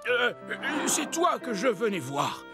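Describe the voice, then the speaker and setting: An actor voicing a spoiled little boy king in a French children's cartoon, imperiously shouting orders over music. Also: mid-range voice, very animated, takes it slowly. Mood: sheepish. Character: bossy spoiled little boy king, tantrum-prone tyrant. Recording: music behind the voice.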